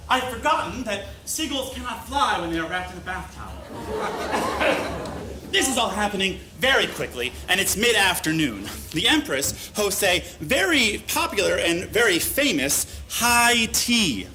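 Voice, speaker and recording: A man giving a mock-serious comedic reading of a story live on stage, with deliberate pauses for effect. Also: high-pitched, big pitch swings, bright, forceful tone. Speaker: live reader of a humorous letter. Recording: live stage recording with faint noise.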